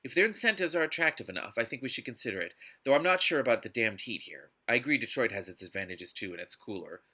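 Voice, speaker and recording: Curt, wealthy businessman and teasing lover, read by a man, forceful novel-reading voice. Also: mid-range voice, animated, fast talker. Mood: businesslike.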